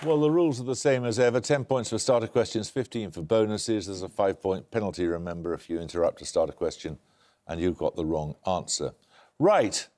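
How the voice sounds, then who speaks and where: An older man hosting a televised quiz, reading questions and introductions in a crisp, brisk manner in a studio. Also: sits low, warm tone, slight background noise. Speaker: quiz show host.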